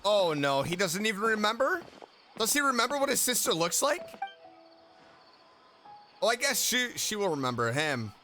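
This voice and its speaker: High-voiced, exclamatory male game streamer. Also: sing-song, normal speed, long pauses, sharp tone, faint music behind. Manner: exclamatory, easily startled. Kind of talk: casual gameplay commentary.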